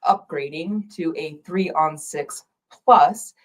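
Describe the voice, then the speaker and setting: A woman co-hosting a dental live stream in casual chat, chatty and playful, her throat a little raspy from allergies. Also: low-pitched, takes it slowly, rough recording. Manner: chatty, playful, lots of agreeing fillers. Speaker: dental office staff co-host.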